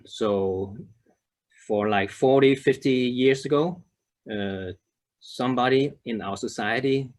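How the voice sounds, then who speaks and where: A man in his thirties from Denmark giving a presentation, halting often with fillers and restarts. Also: mid-range voice. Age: 30s. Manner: hesitant, many fillers and restarts. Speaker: conference speaker. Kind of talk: presentation to a web audience.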